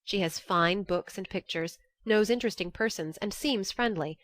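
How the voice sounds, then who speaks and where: A woman's voice: mid-range voice, normal speed, a bit bright, dry recording, lightly acted. A woman reading a classic novel in the first person as a candid, wry young letter writer. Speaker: audiobook narrator.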